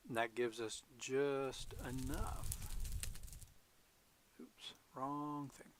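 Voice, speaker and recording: A man's voice: medium pitch, fairly even intonation, drawn-out words, background noise. A man talking to himself as he plays a game, musing in half-whispered asides while losing his voice. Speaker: gaming video host. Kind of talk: casual solo gameplay commentary.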